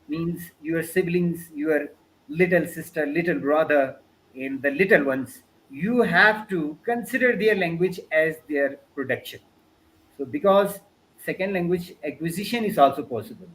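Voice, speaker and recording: A man's voice: sits high, a bit bright, rough recording. A man from Pakistan, a Balti speaker with a Pakistani accent, giving an earnest webinar talk over a video call, often using fillers like "you know" and "means". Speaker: guest lecturer. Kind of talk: webinar talk answering questions.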